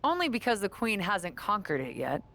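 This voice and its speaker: A woman's voice: mid-range voice, big pitch swings, normal speed, fairly bright tone. A woman playing a regal, self-assured champion wrestler in a video game cutscene. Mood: haughty.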